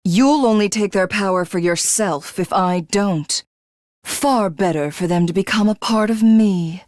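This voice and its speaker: A woman's voice: medium pitch, unhurried, darkish tone, dry recording, studio quality, close to an everyday voice. A woman voicing a megalomaniac alien warrior from a video game, haughty and theatrical, who devours rivals to become a god. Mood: smug, menacing. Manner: haughty, grandiose, theatrical, self-satisfied. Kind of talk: video game character voice acting.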